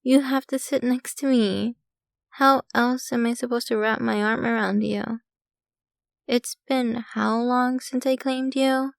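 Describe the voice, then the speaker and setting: ASMR roleplay casting: a woman as a doting, possessive vampire girlfriend, ancient and wealthy, unhurried, with a creaky edge. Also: a little high, steady tone, no echo, clean studio sound. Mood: sweetly possessive. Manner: sweetly possessive, teasing, unhurried, addressing the listener intimately.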